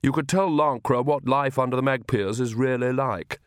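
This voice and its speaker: A suave young vampire gentleman, read by a male narrator, smooth and self-assured. He talks with urbane patience and some condescension, at a medium pitch in a dry storybook recording. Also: normal speed, no echo, close to an everyday voice. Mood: smooth, persuasive. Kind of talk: audiobook character voice.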